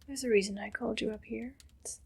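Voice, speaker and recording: A woman playing a haughty, condescending evil queen in an ASMR roleplay, speaking in a breathy, deliberate whisper. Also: fairly high pitch, fairly even intonation, a little mellow, full character voice. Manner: slow, deliberate, imperious.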